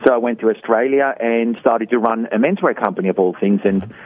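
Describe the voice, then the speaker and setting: A man from Switzerland, a guest explaining his health program in an earnest, explanatory way, often pausing and restarting sentences. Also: mid-range voice, dry recording. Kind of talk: conversational interview answers. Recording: dry, phone-like narrow sound.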